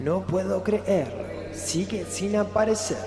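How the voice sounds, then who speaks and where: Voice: mid-range voice, slow. A performer voicing a grumbling, irritable male composer in a theatrical comedic audio drama. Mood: irritated. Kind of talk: amateur comedic audio drama monologue and dialogue. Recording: voice over music bed.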